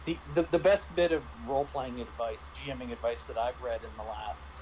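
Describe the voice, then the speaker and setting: A man in a casual panel discussion about roleplaying games, speaking haltingly as he thinks aloud. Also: mid-range voice, noisy background. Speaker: tabletop game master and podcast panelist.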